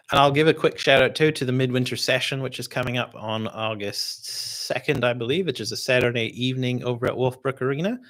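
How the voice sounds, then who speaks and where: A Canadian man with a North American accent, hosting a casual livestream and answering viewer questions in a relaxed, rambling way. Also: mid-range voice, brisk. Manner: relaxed and rambling, with many fillers and restarts. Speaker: distiller hosting a livestream tasting.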